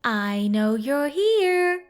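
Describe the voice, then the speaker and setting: A woman's voice: high-pitched, very animated, very slow, faint music behind, heavily acted. Kaya, a woman as a dry-witted ghost hunter. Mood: taunting. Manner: dry, mocking taunts. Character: wry young female ghost hunter, unflappable. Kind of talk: fan audio drama.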